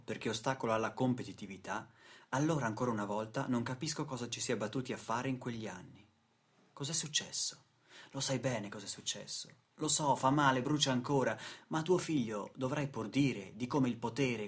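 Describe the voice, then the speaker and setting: A man's voice: mid-range voice, slightly rough. A man narrating a radio theatre monologue in a rhetorical, emphatic way. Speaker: actor-narrator.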